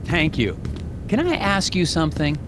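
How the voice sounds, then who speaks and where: Earnest federal investigator, animated game, lively higher voice, puzzled, rumbling noise behind. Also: male voice, moderate pace. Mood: polite. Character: earnest, puzzled male FBI agent investigator. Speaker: FBI agent.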